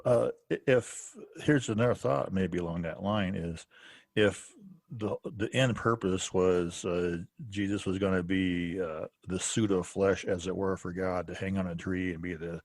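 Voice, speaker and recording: A man with a low, husky voice talks through ideas as a podcast co-host, pausing often and restarting his sentences. The sound is close and dry, with no room around it. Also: fairly even intonation, no echo. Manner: halting, full of restarts and "uh". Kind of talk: casual theology discussion.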